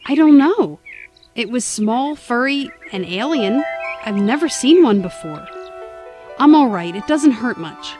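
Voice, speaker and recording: A woman plays a plucky young sidekick in an audio drama, wry and quick with a quip. Her voice sits high and moves about in a lively way, with faint music underneath. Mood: shaken but calm. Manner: wry, playful, quippy. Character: plucky, wisecracking young female travelling companion. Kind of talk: scripted audio drama acting.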